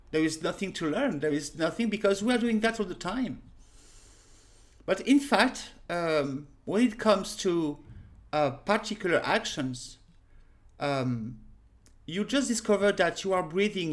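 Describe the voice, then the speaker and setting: A woman in her thirties with a French accent, teaching a casual lesson to a webcam in a small room at home, unhurried and full of fillers. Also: lowish voice. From France. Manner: unhurried, pauses with many fillers, direct. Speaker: harmonica teacher. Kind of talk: casual spoken lesson to camera.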